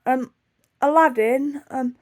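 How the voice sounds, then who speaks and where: Chatty, higher-voiced young British woman. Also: slow, darkish tone. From United Kingdom. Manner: chatty, easily distracted, self-deprecating. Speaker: video blogger. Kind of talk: casual rambling storytime chat.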